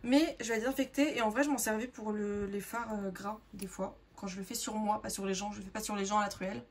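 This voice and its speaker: Young woman, hoarse and gravelly, rambling casual vlog talk, noisy background. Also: medium pitch, steady tone, fast talker. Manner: chatty, rambling, lots of fillers. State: hoarse, sore throat. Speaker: makeup artist vlogger. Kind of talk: casual sorting vlog, talking to viewers.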